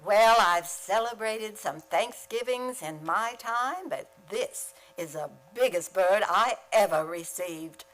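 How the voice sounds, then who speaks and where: A woman playing a wry, quick-witted character in an old-time radio comedy, with deadpan delivery. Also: medium pitch, poor audio. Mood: wry dismay.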